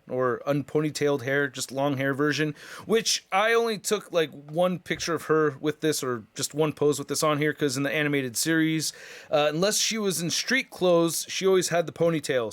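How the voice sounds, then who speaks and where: A man's voice: a little high, a bit bright, no echo. A man giving a casual, chatty, opinionated hands-on review of action figures as the host of a toy channel.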